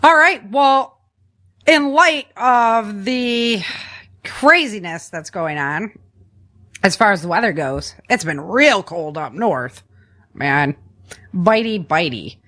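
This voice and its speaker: A woman's voice: mid-range voice, lively intonation, takes it slowly, bright, forceful tone. A woman hosting a podcast in casual, chatty conversation from a family room at home, using informal, slangy language. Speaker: podcast host.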